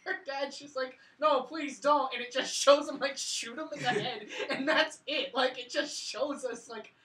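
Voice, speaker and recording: A woman co-hosting a film review podcast, casually recounting scenes in an animated way, peppering sentences with "like". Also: high-pitched, unhurried. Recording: roomy, a bit far from mic.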